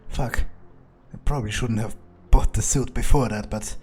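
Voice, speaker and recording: A man's voice: mid-range voice, steady tone, moderate pace, a little mellow, soft background music. Blunt, dry-voiced male gamer in character. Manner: dry, blunt and laconic, with cursing. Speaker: gamer roleplaying his character. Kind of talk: in-character game roleplay commentary.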